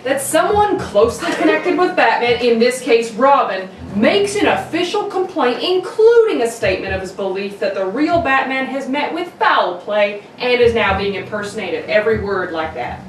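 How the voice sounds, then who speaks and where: Woman playing high-voiced skeptical male police inspector. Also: big pitch swings, moderate pace, few pauses. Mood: skeptical, official. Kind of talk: amateur recreation of 1940s radio drama. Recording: distant echoey room, noisy.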